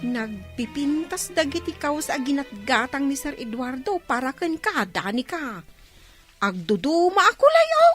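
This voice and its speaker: A woman's voice: high-pitched, sing-song, unhurried, a bit bright, soft background music, heavily acted. A woman playing a kindly, chatty farmhouse housekeeper in an audio drama. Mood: delighted, curious.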